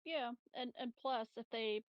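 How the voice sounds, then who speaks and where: A woman's voice: a little high, a little slow, dry recording, highs cut off. A woman chatting casually as a player in a tabletop game.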